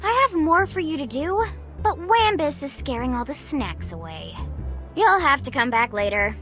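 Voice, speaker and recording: Snarky know-it-all game critter: American valley girl, piercingly bright, very animated, dripping with slang. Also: sits high, normal speed, faint music behind. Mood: bossy. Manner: sassy valley-girl drawl, exaggerated slang. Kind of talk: cartoonish video game character acting.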